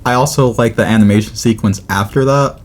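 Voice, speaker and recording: A man hosting a casual podcast chat, informal and laid-back, speaking in a halting, conversational way. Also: lowish voice. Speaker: podcast host.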